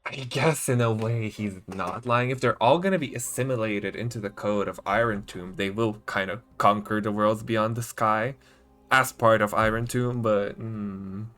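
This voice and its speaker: A man's voice: fairly low pitch, moderate pace, a bit bright. A man streaming a game, giving casual, offhand commentary as he muses aloud.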